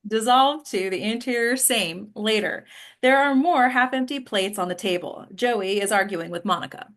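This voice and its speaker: A woman's voice: medium pitch, normal speed, a bit bright. A woman giving scripted narration, reading stage directions at a table read.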